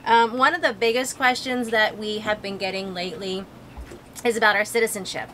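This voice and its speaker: A woman's voice: mid-range voice, steady tone, brisk, fairly bright tone, slight background noise, rough recording. A North American woman chatting casually as co-host of a vlog, answering viewer questions. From born in the United States, grew up in Canada.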